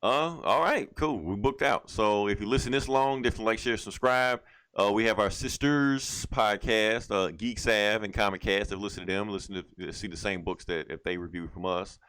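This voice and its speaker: A man hosting a casual podcast, loose and halting as he thinks aloud. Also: fairly low pitch, fairly bright tone, clear, resonant tone, dry recording. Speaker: podcast host. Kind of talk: casual chat, show sign-off.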